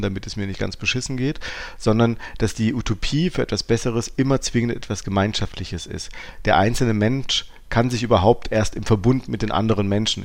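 Gravelly, lowish male podcast host. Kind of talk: reflective conversational philosophy talk.

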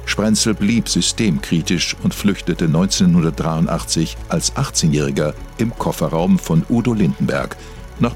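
A man reading a scripted mock-documentary biography in a measured, matter-of-fact way. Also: a bass voice. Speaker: documentary narrator.

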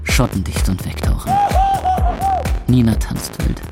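A young male club owner narrates a radio drama in terse, sardonic phrases. His pitch swings widely from flat to excited, and club music runs clearly behind him. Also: a little slow, exaggerated voice. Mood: wry. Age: young adult.

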